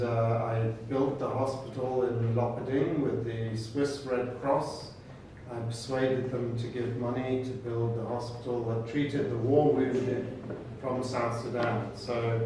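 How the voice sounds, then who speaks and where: A man's voice: medium pitch, a little mellow, distant mic, a little hiss or hum. Older Kenyan man in an echoey room. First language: Swahili. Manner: measured, speaks in short phrases with hesitations. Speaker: program director welcoming students. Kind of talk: informal welcome talk. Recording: echoey room recorded from afar.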